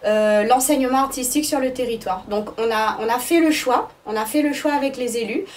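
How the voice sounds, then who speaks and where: A woman's voice: on the high side, brisk, recorded from a distance. A woman answering interview questions as the director of an arts school, explaining things phrase by phrase with hesitations.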